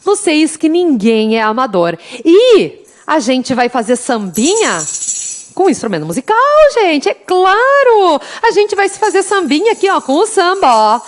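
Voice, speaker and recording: High-voiced woman teacher with southern Brazilian accent. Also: big pitch swings, measured pace. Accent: interior Rio Grande do Sul Brazilian Portuguese. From interior of Rio Grande do Sul, Brazil. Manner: chatty, teasing, addresses students warmly as "gente". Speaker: law exam prep teacher. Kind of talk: lively online class, singing mnemonic samba.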